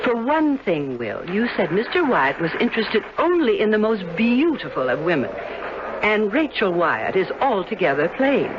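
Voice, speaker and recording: A woman's voice: mid-range voice, sing-song, moderate pace, natural voice. Actress as lilting, genteel, prying busybody woman. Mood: confiding. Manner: gushing, insinuating, polite. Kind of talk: 1970s radio drama acting. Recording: dull, hissy old radio drama recording.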